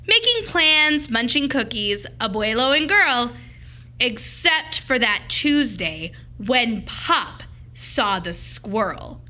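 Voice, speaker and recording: Animated, high-voiced woman reading a storybook. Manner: animated, deliberate, emphatic storytelling. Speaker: teacher reading a storytime to children. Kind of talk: expressive children's picture-book read-aloud.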